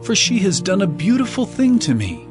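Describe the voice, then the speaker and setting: Solemn caring male teacher in a bible drama, very expressive pitch, music behind him. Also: moderate pace. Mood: gentle reproof. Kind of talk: dramatized scripture acting.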